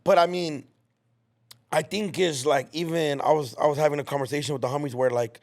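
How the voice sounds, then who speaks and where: Gravelly-voiced young man, casual and slangy. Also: fairly high pitch, fairly even intonation, a little fast. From United States. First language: Spanish. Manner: slangy, rambling, frequent restarts. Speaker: podcast guest. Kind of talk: casual chat.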